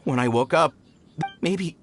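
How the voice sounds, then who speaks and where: A nervous male labourer played by an actor in a video game. He stammers and rambles in a mid-pitched voice, with slight background noise. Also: unhurried, lightly acted. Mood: anxious, confused. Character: nervous, flustered male mine worker. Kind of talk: scripted video game dialogue.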